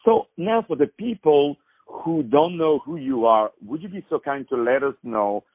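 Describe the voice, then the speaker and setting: A man's voice: a little high, expressive, moderate pace, breathy edge. A man hosting a phone interview, effusive and lavish with praise. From France. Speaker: host. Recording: tinny phone line, dry.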